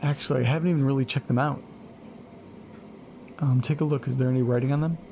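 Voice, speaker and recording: Actor as gravelly, laid-back sleepy guy. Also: medium pitch, steady tone, quick pace, noisy background, close to an everyday voice. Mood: casual, curious. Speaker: lead actor in horror audio drama. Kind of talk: naturalistic audio drama acting.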